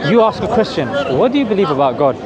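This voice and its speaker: A man explaining his faith to a passerby in a busy public space, persuasive and steady, checking in with "yeah?" as he goes. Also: sits high, quick pace. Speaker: street preacher. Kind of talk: outdoor conversation. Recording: loud crowd all around.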